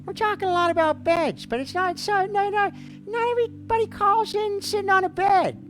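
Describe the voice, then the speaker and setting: A woman hosting a livestream call-in show as a mock therapist, dreamy, theatrical and goofy, with a gravelly voice. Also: very high voice, normal speed. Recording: music playing behind the voice. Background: background music.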